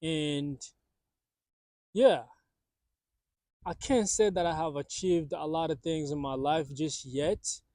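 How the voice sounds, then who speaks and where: A man's voice: fairly high pitch, slow, long pauses, mellow, dry recording, studio quality. Rambling young man in his twenties. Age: 20s. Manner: rambling, filler-heavy, restarts often. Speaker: vlogger. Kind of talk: casual vlog monologue. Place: parked car in a parking lot.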